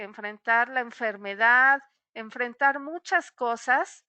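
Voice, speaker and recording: Bright, crisp woman teaching. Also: sits high, no room sound, lo-fi sound. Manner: warm-toned explanatory, frequent "eh" fillers. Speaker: psychologist giving a webinar. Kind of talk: webinar talk addressed to the listener.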